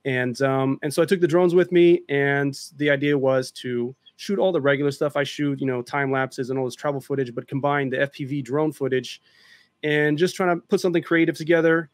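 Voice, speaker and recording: A man chatting casually in an interview over a video call, explaining at length in a relaxed way. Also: medium pitch, fairly quick, dry recording. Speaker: drone filmmaker guest.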